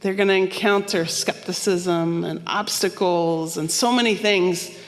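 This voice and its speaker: A woman's voice: fairly low pitch, fairly even intonation, a little slow. A woman minister giving a sermon, telling stories in a conversational way with small asides. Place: church sanctuary. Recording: long hall reverb.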